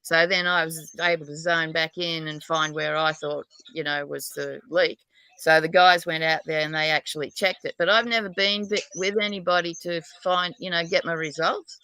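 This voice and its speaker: A lower-pitched woman with a broad Australian accent, heard close and dry. Her voice is smooth and clear. She chats casually and frankly, wandering from one thought to the next and poking fun at herself. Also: fairly even intonation, normal speed, pushed and bright, dry recording, poor audio. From New South Wales, Australia. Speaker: guest dowser. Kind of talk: casual chat.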